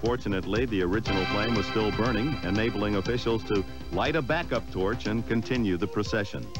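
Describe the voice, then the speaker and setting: A man's voice: fairly low pitch, fairly quick, music in the background. A man reading scripted narration for a sports newsreel.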